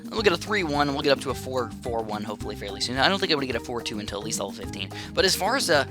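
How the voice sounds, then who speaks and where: A man chatters fast through a strategy match, rambling from thought to thought with plenty of fillers and restarts. His voice sits at an ordinary middle pitch. Game music plays clearly underneath him. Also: very animated. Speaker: gaming channel host. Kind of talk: casual live gameplay commentary.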